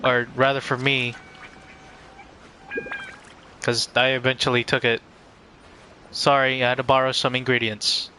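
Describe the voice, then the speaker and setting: A man's voice: mid-range voice, level tone, measured pace, pauses often, bright, forceful tone, a little hiss or hum, rough recording. A man giving casual, rambling live commentary as he streams a game.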